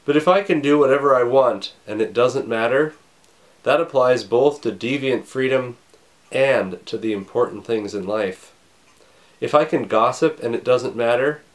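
A man in his thirties, a pastor, preaching a recorded sermon from his home study with a scratchy voice and a slight cough, earnest and measured. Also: medium pitch. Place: home study with bookshelves, indoors.

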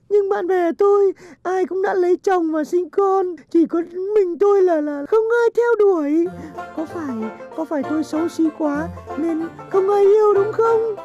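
A woman's voice: very high-pitched, a little slow, dark tone, dull audio, faint music behind. A woman playing a whiny, insecure, lovelorn single woman of thirty in a comic cartoon. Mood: tearful.

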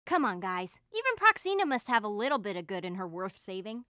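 A woman playing a kind, earnest and determined teenage girl hero in an animated series. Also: mid-range voice, brisk, no echo, studio quality.

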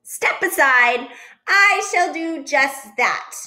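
A woman's voice: high-pitched, big pitch swings, drawn-out words, a bit bright, roomy sound, pitched above the performer's own voice, heavily acted. A woman voicing a huffy, bossy male duck from a storybook, indignant and emphatic. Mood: eager, bossy. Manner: exclamatory, scolding, emphatic.

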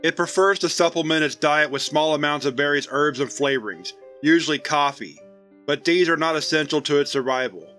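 Bright-voiced man reading case files. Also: on the high side, faint music behind. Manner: clinical, measured. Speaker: narrator. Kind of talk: scripted narration, formal case-file reading.

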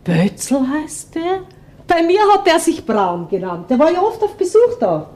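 Lively, nosy Viennese landlady. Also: high-pitched, a little slow. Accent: Austrian, Viennese. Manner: gossipy, colloquial dialect. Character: chatty, nosy working-class Viennese landlady. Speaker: actor in a radio crime play.

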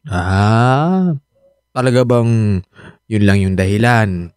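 An actor playing a teasing, suspicious husband in a radio drama, with a Filipino accent. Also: male voice, lowish voice, slow, darkish tone, clean tone, no room sound, studio quality.

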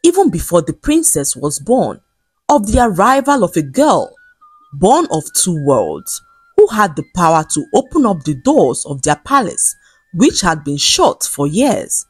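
Low-voiced Nigerian woman, animated folktale storyteller. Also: unhurried, slightly rough, dry recording, soft background music. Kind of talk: scripted folktale narration.

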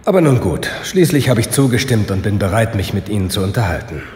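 An actor playing a resigned, sardonic condemned prisoner in a German radio play, speaking articulately. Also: male voice, fairly low pitch, lively intonation, quick pace, darkish tone, reverberant, in a large hall, a little hiss or hum, lightly acted. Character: bitter, resigned condemned prisoner, weary of life.

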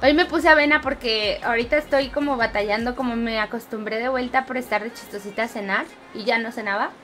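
A woman's voice: fairly high pitch, fairly quick, fairly bright tone, soft background music. A woman in her twenties with a Mexico City accent, chatting casually with viewers during a live game stream on a headset. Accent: Mexico City Spanish. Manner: chatty, reads and answers viewer comments while gaming. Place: home streaming room, indoors.

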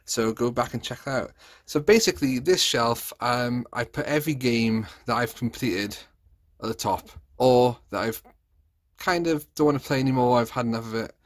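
A man's voice: medium pitch, moderate pace, dry recording, clean studio sound. Guy in his 30s doing a chatty room tour vlog on his phone, normal mid voice, makes it up as he goes. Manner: chatty, off-the-cuff, self-correcting. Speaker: vlogger, collector. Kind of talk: casual room tour narration. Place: small rooms at home, indoors. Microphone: handheld phone, held by the speaker.

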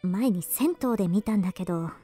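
An actress playing a chatty, gossiping café waitress in a radio drama. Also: female voice, mid-range voice, expressive, a little fast, dark tone, husky, soft background music. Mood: gossipy.

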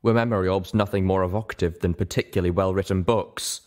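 Male audiobook narrator, low register, measured and dramatic read of scripted fiction. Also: steady tone.

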